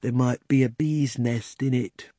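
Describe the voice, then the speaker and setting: A man voicing a placid, slow-witted storybook bear in a ponderous, simple manner. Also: medium pitch, steady tone, warm tone, no echo, studio quality, close to an everyday voice. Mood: musing.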